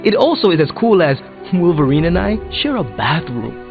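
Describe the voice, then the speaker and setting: Animated, awkward young superhero. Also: male voice, fairly high pitch, moderate pace, phone-quality sound. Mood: awkwardly excited. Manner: gushing, self-conscious, stammering. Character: excitable, awkward young male superhero fanboy. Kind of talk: video game voice acting. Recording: band-limited game audio, faint music.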